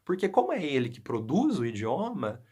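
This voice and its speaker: A man's voice: a little high, huge pitch swings, moderate pace, darkish tone, slightly rough. A young male Portuguese teacher from Brazil explains a lesson to students, checking in with frequent tag questions. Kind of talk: recorded class lesson.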